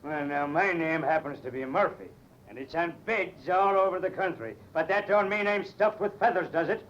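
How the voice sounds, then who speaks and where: Blustering high-voiced Irish cop played by a man. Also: normal speed, fairly bright tone, close to an everyday voice. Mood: indignant. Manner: blustering, indignant. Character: touchy, folksy Irish policeman. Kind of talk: 1940s-50s radio drama acting. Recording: dull, faintly noisy vintage radio recording.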